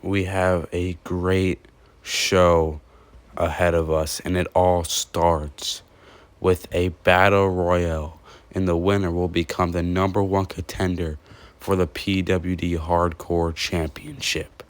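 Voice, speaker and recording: A man's voice: sits low, little pitch movement, takes it slowly, no room sound. A man doing pro wrestling commentary and introductions, drawing out his phrases as he announces.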